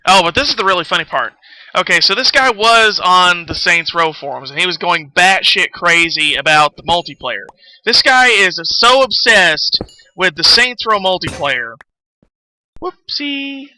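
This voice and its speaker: A man's voice: sits high, animated, a little slow, pushed and bright, a little hiss or hum, poor audio. A man chatting casually as he hosts a game livestream, rambling along in a self-deprecating, sweary way. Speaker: game streamer, host. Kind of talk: casual livestream chat while playing a game.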